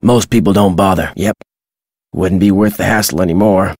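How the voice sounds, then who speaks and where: An older American man voicing a crotchety retired birdwatcher in a video game, folksy and gruff with a husky, dry delivery. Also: low voice, steady tone, normal speed, a little mellow, dry recording. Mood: wistful, plainspoken. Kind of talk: video game character dialogue.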